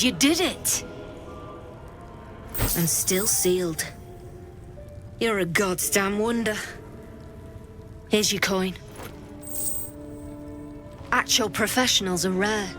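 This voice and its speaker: A woman acts as a hard-bitten smuggler boss in a fantasy video game. Her mid-range voice is curt, commanding and all business, with music clearly heard underneath. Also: mid-range voice, lightly acted. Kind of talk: video game character dialogue. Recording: game dialogue over clear background music.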